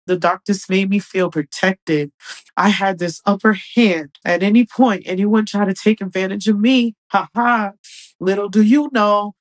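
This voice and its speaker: A woman in her thirties telling her personal story conversationally, with an earnest, emphatic manner, recorded in a room at home. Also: mid-range voice. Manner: earnest, emphatic, acts out remembered dialogue. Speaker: guest sharing personal testimony. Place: small furnished room at home, indoors.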